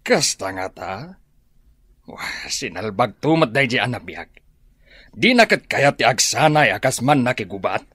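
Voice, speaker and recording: An actor playing a short-tempered, scornful middle-aged rural father in an Ilocano radio drama, with an Ilocano accent and a husky voice. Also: mid-range voice, very animated, a little slow, pushed and bright, no room sound, studio quality, full character voice. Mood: scornful, irritated.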